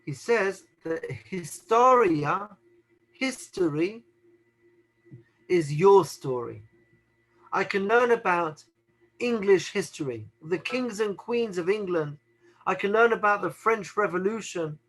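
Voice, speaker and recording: A middle-aged man with a high voice teaches in a measured, unhurried way. He repeats key words and poses rhetorical questions to his listeners before he answers them. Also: lively intonation, fairly bright tone, poor audio. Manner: deliberate, repeats phrases, asks rhetorical questions. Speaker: Torah teacher. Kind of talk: teaching talk on the Haggadah.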